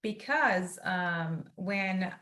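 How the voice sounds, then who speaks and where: A young woman from northeast Iran, an author introducing herself and her book as a guest, earnest and grateful, speaking in quick bursts over a video call. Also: lowish voice, lively intonation, pushed and bright. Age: young adult.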